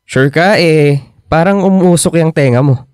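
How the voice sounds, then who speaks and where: A man acting a patient, concerned young boyfriend in a radio drama, gentle and halting, with a Filipino accent. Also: a little high, clear, resonant tone, no room sound, studio quality, natural voice. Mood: mildly exasperated.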